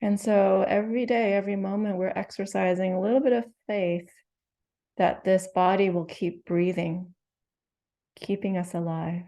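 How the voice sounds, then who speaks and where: Woman guiding a meditation, slow gentle voice, gravelly and mellow. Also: medium pitch, fairly even intonation. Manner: slow, gentle, soothing instructions with long pauses. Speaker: meditation teacher. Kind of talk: guided meditation.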